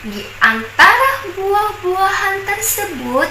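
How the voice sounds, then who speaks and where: A young woman university student telling a folk tale in a slow, expressive, sing-song way. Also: very high-pitched. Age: young adult. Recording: echoey room, distant mic, noisy.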